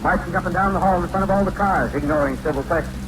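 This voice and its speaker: A man's voice: high-pitched, fast, lightly acted. An actor playing a pompous, fatherly older elevator captain in an old-time radio comedy. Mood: grandly proud. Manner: pompous, earnest, speechifying. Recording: noisy old radio recording, steady hiss.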